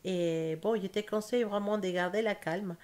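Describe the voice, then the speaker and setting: A young woman from South America whose first language is Spanish speaks French with a Spanish accent, reading tarot slowly and carefully, with pauses and self-corrections. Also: mid-range voice, a little mellow. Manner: slow careful speech with hesitations and self-corrections. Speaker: tarot reader. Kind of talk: casual tarot reading to camera.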